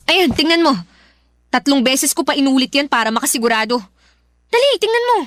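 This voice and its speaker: A woman's voice: high voice, big pitch swings, a little fast, a bit bright, no echo. A woman playing an irritable, anxious young older sister in a Filipino radio drama. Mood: irritated, snappy.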